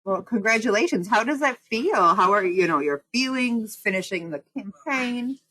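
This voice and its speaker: A woman interviewing a local official in a friendly way, often checking facts with a quick "right?". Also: mid-range voice, animated, bright, forceful tone, low-quality recording. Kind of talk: community radio interview.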